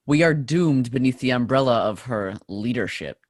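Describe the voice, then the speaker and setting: A man's voice: medium pitch, lively intonation, normal speed, no echo, studio quality, natural voice. A man playing a scornful, scheming medieval French soldier in a play reading, mocking and theatrical. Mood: scheming bravado. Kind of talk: stage play table read.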